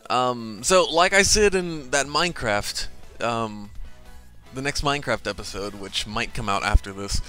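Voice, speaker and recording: A man's voice: mid-range voice, measured pace, sharp tone, faint music behind. A man doing let's play commentary and reading game text aloud, rambling with asides. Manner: rambling, full of ums and asides.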